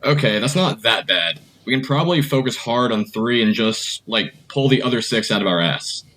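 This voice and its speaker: A man's voice: mid-range voice, expressive, moderate pace, a bit bright, close to an everyday voice, rough recording. A young man acting as a laid-back slacker college student in a naturalistic audio drama. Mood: breezy, casual.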